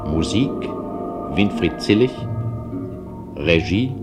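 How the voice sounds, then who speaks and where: A male radio announcer with a low voice reads formal credits very slowly and solemnly. Loud music plays behind him. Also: expressive.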